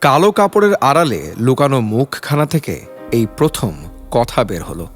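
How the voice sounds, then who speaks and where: A man narrates a suspense story with expressive, dramatic delivery. His medium-pitched voice moves in lively swells. Quiet music plays underneath. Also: moderate pace.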